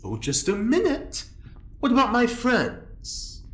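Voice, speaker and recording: A man's voice: very high voice, wildly swooping pitch, takes it slowly, a bit bright, heavily acted. A man playing a scheming, teasing prisoner in an audio drama, with a sly, theatrically coaxing manner. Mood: concerned, bargaining. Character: smooth-talking, scheming, teasing male prisoner. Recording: echoey room with faint noise.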